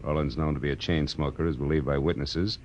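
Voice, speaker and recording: An American actor playing a weary, wisecracking private detective with a dry deadpan delivery in 1940s radio noir style. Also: male voice, a bass voice, fast talker. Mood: wry, unimpressed. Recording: old radio recording with music behind.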